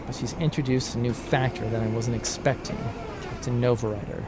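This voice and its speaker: A man playing a cool, calculating schemer in a space opera audio drama, speaking in a measured, grave and controlled way. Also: medium pitch, fairly even intonation, background noise, lightly acted. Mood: scheming. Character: cool, calculating male schemer hunting a treasure vault.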